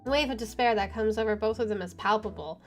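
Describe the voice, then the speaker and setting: A woman streaming a horror visual novel, reading it aloud with animated commentary. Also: mid-range voice, fast. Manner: animated, laughs between lines. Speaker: let's-play streamer. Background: game music.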